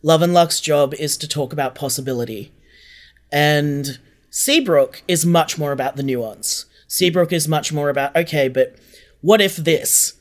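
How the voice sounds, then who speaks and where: A man's voice: fairly high pitch, measured pace. A man explaining things earnestly in a reflective interview, repeating phrases for emphasis. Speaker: podcast guest, audio drama co-creator.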